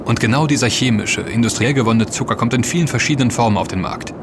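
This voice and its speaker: A man's voice: medium pitch, fast, background noise, close to an everyday voice. An actor playing an earnest, knowledgeable man who explains and warns emphatically in a scripted audio drama. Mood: earnest warning. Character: earnest, knowledgeable man warning his friends.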